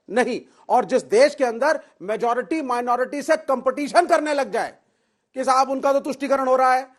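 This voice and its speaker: A man arguing insistently as a panelist in a political television debate. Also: extremely high pitch. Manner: insistent, argumentative.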